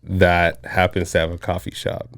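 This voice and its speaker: Deep-voiced man in his thirties. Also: normal speed, vocal fry, dry recording, studio quality. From Zambia. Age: 30s. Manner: relaxed, reflective, with frequent fillers and restarts. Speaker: guest, coffee shop owner. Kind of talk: casual interview conversation.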